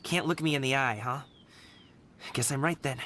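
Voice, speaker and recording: An actor playing an earnest young man eager to prove himself, speaking in a direct, lively way. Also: mid-range voice, normal speed, very noisy, natural voice. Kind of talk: game cutscene voice acting.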